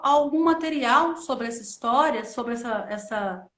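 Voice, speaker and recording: A woman's voice: a little high, lively intonation, a little slow, a bit bright. A woman from Brazil hosting a live stream, speaking in a casual conversational way and leaning on fillers as she talks. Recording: echoey room.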